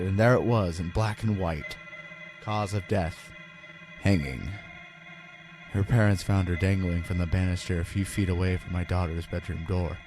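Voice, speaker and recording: A frightened, haunted father, played by a man, recounts his ordeal as a horror story. His voice is on the low side, grave and dramatic, with deliberate phrasing. Music sits clearly behind it. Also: close to an everyday voice. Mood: grim dread. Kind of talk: dramatic first-person horror narration. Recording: loud music under voice.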